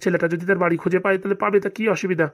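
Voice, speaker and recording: An actor playing an easygoing, reassuring middle-aged village husband in a comedy cartoon. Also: male voice, high voice, flat delivery, rapid-fire, natural voice.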